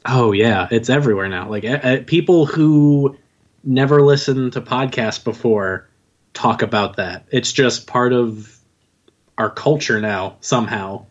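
A man's voice: mid-range voice, a little slow, muffled recording. A man chatting casually as a podcast guest, with a wry, self-deprecating way of talking. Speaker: podcast guest, audio drama writer. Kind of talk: casual chat.

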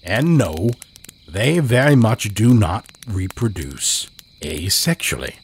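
A man narrating scripted horror fiction in a measured, deliberate way. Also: on the low side, sing-song, slightly rough. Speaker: storyteller, narrator.

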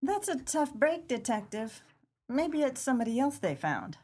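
Woman acting a brassy, animated gangster's moll. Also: sits high, moderate pace, slightly rough, full character voice. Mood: sly. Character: tough, sly 1930s gangster's moll, brazen burlesque dancer. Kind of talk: pulp crime radio play acting.

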